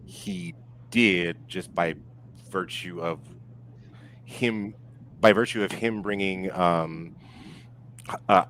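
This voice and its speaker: A man with a very deep voice talks sport casually as a podcast guest. He is halting and reflective, often circling back to rephrase. A little background noise sits under his voice. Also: a bit bright. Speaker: podcast guest discussing football.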